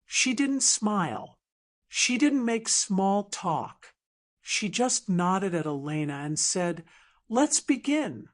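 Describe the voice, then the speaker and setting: A woman narrating an audiobook drama in a measured, emotive storytelling manner with deliberate pauses. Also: mid-range voice, animated.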